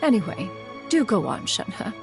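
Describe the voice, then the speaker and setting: A woman's voice: mid-range voice, very animated, a little slow, vocal fry. A woman voicing an ancient, proud female immortal bird adept in a video game, haughty but fond, speaking in pompous, formal, archaic phrases. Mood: boastful, rueful. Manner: pompous, formal, archaic, says "one" for herself. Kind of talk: video game character acting. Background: music.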